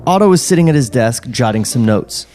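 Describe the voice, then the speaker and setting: A man narrates a screenplay at a table read, reading the stage directions in a middle-pitched voice. Faint music sits behind him. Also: lively intonation, brisk, mellow.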